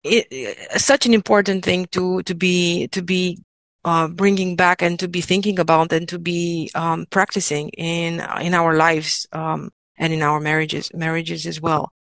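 Woman host, lowish voice, hesitant and reflective, dry close mic. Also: level tone, a little slow, a bit bright, no room sound. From Romania. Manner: thoughtful, hesitant, many fillers. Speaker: host, interviewer. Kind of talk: conversational interview.

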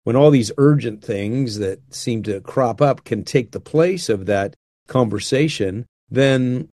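A man's voice: medium pitch, unhurried, darkish tone, no room sound, clean studio sound. An older man talking earnestly and unhurriedly about marriage, often restarting his phrases. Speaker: guest speaker on marriage. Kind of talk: reflective conversational talk.

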